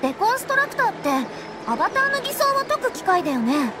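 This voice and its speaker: A woman voicing a chatty, eager teenage girl in a Japanese anime game, lively and friendly. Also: very high voice, fast, over loud music. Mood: earnest.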